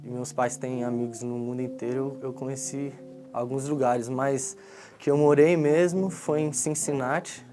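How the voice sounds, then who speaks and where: Man, 20s, from India, relaxed conversational testimony, guitar music underneath. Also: medium pitch, moderate pace. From India, raised in Brazil from age eleven. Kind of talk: casual personal testimony.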